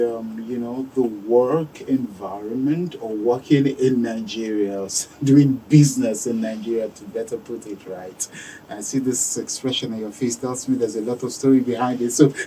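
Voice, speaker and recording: Curious male radio host, mellow tone. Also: mid-range voice, far from the mic, a little hiss or hum, low-quality recording. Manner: curious, chatty questioning. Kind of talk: radio interview questions. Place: radio studio.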